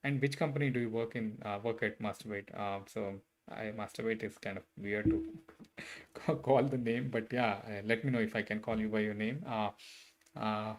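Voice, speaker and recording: A man's voice: fairly low pitch, normal speed. A man, chatting casually with viewers on a live coding stream, hesitant and friendly, with many fillers and restarts. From Bangalore, India. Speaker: livestreaming software engineer. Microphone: desk mic set a bit far.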